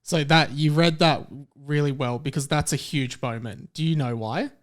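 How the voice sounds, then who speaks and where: A man's voice: fairly high pitch, normal speed. A man hosting a comedy podcast, chatting casually and explaining things in a self-mocking way. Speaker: podcast host and author of the story.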